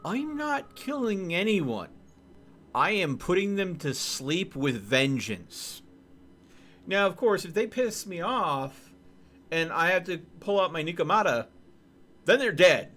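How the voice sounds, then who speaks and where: Animated, high-voiced male gamer. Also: slow. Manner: animated, joking, talks to chat. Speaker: streamer. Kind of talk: casual gameplay commentary.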